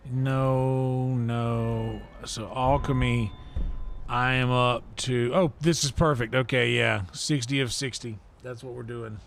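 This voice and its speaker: Male game streamer, mid-pitched, unhurried, chatty and rambling, slight background noise. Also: fairly even intonation, gravelly. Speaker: livestream host and gamer. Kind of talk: casual live game commentary, thinking aloud. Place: indoor livestream setup.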